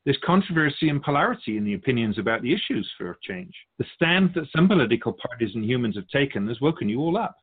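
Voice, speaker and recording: A man voicing a wise, patient spirit who observes humankind, explaining and questioning in a measured conversational way on a radio show. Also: medium pitch, sing-song, breathy edge. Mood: thoughtful. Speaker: guest sage on a radio show. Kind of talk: conversational philosophical teaching. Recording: thin phone-like band.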